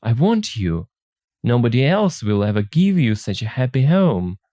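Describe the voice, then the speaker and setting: A man's voice: medium pitch, big pitch swings, moderate pace, mellow, dry recording, clean studio sound, close to an everyday voice. Masterful, earnest suitor read aloud by a man. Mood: pleading. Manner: earnest, commanding. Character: masterful, insistent suitor pleading for marriage. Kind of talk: story read aloud for learners.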